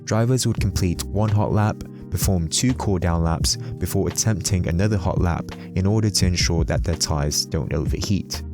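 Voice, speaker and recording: A man's voice: sits low, normal speed, hardly any pauses, over music. A man giving a scripted explainer narration as the host of a video.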